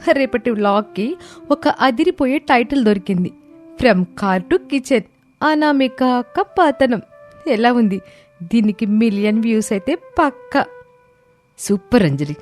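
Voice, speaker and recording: A woman's voice: fairly high pitch, expressive, unhurried, darkish tone. A woman voicing a mocking, gleeful young sister-in-law in a moral story, over music. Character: gloating young sister-in-law plotting a vlog. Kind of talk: acted moral-story dialogue.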